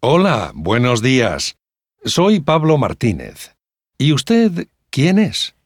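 An actor playing a courteous adult male teacher in a language-course dialogue, speaking slowly, clearly and politely. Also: mid-range voice, huge pitch swings, no room sound, clean studio sound, exaggerated voice. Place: dry studio.

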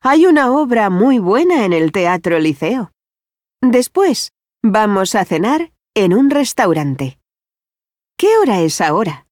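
A friendly, unhurried bank worker. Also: female voice, medium pitch, very animated, darkish tone, dry recording, clean studio sound. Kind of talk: language-course dialogue acting. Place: dry studio.

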